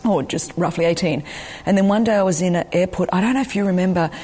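A woman's voice: lowish voice, fast talker, mellow. A woman in her forties with an Australian accent, Greek her first language, earnestly recounting her life as an interview guest. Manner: earnest, personal, frequent ums. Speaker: ministry leader, guest.

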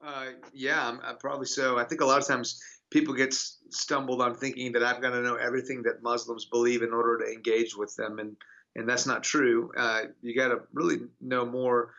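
A man's voice: medium pitch, level tone, fairly quick, gravelly, pushed and bright, highs cut off. A man from Houston, a pastor, earnestly telling his story in a radio interview. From Houston, Texas.

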